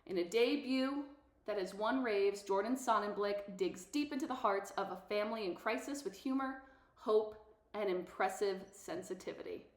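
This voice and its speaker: A woman's voice: medium pitch, moderate pace, echoey room. A woman hosting a read-aloud, introducing and reading from a children's novel for her listeners. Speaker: host of a book read-aloud channel.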